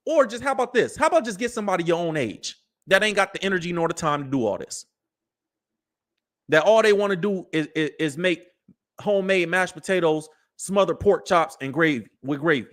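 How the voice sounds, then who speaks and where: A man's voice: high voice, fairly bright tone. Preachy American man ranting in slang. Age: 30s. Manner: preachy, slangy, talks straight to listeners. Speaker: commentary show host. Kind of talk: animated live commentary.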